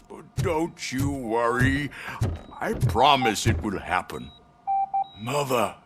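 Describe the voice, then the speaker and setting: Frail old tycoon, wildly swooping voice. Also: male voice, mid-range voice, heavily acted. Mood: delirious, wistful. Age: older. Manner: theatrical, halting, dramatic. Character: frail, dying old corporate boss, pleading and grandiose. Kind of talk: video game voice acting.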